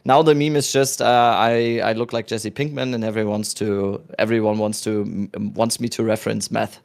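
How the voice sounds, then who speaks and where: Male host, 30s, German accent, lowish gravelly voice, easygoing rambling delivery. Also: normal speed. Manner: relaxed, rambling with restarts. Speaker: podcast host. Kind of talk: casual chat.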